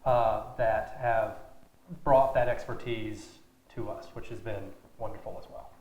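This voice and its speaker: A man's voice: lowish voice, fairly even intonation, unhurried, lo-fi sound. Plainspoken man in a roomy, echoing space. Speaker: theater director being interviewed. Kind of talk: casual interview talk. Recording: roomy echo, faint background noise.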